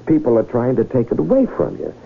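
An actor playing a theatrical, persuasive con man in an old radio drama, with a husky voice. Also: medium pitch, sing-song, quick pace, heavily acted. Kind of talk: 1970s radio drama acting. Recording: tinny old radio broadcast.

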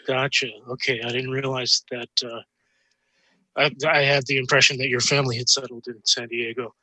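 A man hosting a casual interview, asking halting questions and putting in short, interested interjections. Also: mid-range voice, flat delivery, bright, forceful tone, no echo, poor audio. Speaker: interview host.

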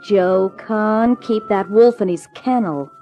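An actress playing a detective's sassy, jealous young secretary in a 1950s radio drama, with a teasing, pert, sardonic delivery. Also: female voice, mid-range voice, slow, warm tone, lightly acted. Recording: 1950s radio drama recording, music behind.

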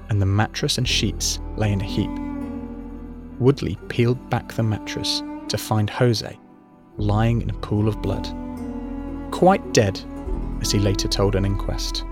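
A man, his voice sitting a little low, reads a long-form crime story in steady, measured narration. Music plays clearly beneath his reading. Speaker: narrator reading a feature article. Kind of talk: scripted narration.

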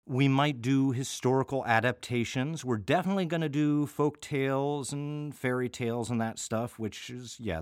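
A man's voice: medium pitch, no room sound, studio quality. An American man hosting an audio theater podcast, talking conversationally in a wry, chatty manner with playful asides. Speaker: host and artistic director of an audio theater. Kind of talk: conversational podcast introduction to a show's season.

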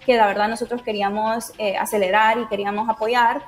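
A woman's voice: a little high, little pitch movement, a little fast, a little hiss or hum. A woman from Honduras, giving casual interview answers in an enthusiastic, explanatory way. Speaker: interviewee, project team member.

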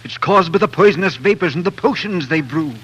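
An actor playing a stern, insinuating royal minister, grave and insistent, in 1940s radio drama style. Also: male voice, fairly high pitch, expressive, fairly quick, fairly bright tone, lo-fi sound, a little hiss or hum.

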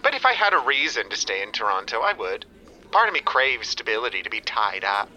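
An actor playing a flirtatious, needy ex-lover in a radio comedy, pleading in a coy, theatrical way. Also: male voice, mid-range voice, big pitch swings, fairly quick, pushed and bright, low-quality recording.

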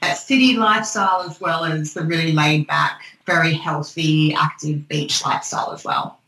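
A woman's voice: low voice, steady tone, normal speed, bright, forceful tone, echoey room, low-quality recording. An Australian woman, a podcast guest, chatting casually and informatively in an interview.

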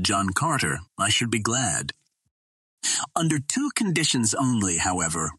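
Male narrator as green Martian chieftain for a storybook: stern, pronouncing, husky, dry sound. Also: fairly low pitch, fairly bright tone, no room sound, heavily acted. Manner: formal, commanding, pronouncing.

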